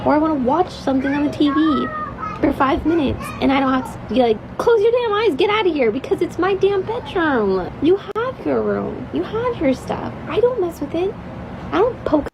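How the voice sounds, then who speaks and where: A woman with a high voice venting in exasperation about her children, speaking casually to her phone. The clip carries clear background noise, with traffic sounds behind her. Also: very animated, moderate pace. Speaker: mother.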